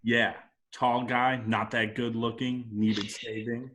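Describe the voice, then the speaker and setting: A brash space outlaw, read by a man with a threatening edge. The pitch is middling, and the delivery is that of an amateur script read-through. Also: level tone, takes it slowly, a bit bright. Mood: casual.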